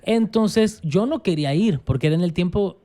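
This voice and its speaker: Salvadoran man with a dark, smooth voice. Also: high voice, expressive, normal speed. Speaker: pastor, guest. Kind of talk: casual interview, telling his life story.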